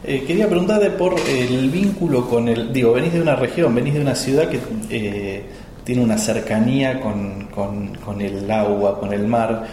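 A man's voice: medium pitch, moderate pace, a little mellow, a little hiss or hum. A man with an Argentine accent hosting a podcast interview, asking long, winding questions from a hotel room. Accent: Argentine Spanish. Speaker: podcast host. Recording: roomy echo with faint music.

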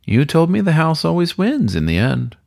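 Man playing a breathy, smitten squirrel gambler. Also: fairly high pitch, wildly swooping pitch, moderate pace, no echo, studio quality, pitched above the performer's own voice, full character voice. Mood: wry, yielding. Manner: breathy, soft. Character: smitten male squirrel, weary gambler on the run.

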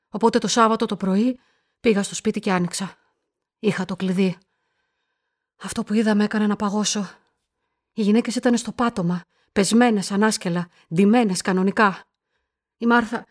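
An actress playing a murder victim's shaken sister, giving grave, halting testimony in a radio drama. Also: female voice, medium pitch, close to an everyday voice.

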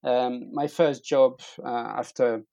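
A middle-aged man with a French accent telling personal stories in casual conversation, haltingly, often repeating words as he searches for them. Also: mid-range voice, steady tone, creaky, mellow, dry recording. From eastern France. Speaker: interview guest.

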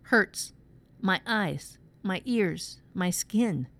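A woman's voice: medium pitch, expressive, very slow, a bit bright, exaggerated voice. A woman voicing a frightened, feverish boy who pleads in broken, short phrases in a story reading. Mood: pained.

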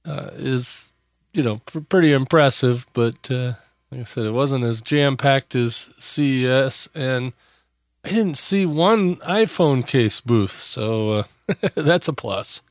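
Hoarse guy rambling thru his podcast, lots of uhs, smooth resonant voice anyway. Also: mid-range voice, lively intonation, slow, no room sound, studio quality. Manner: rambling, many "uh" and restarts. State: hoarse, recovering from lost voice. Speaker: podcast host. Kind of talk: casual solo podcast chat.

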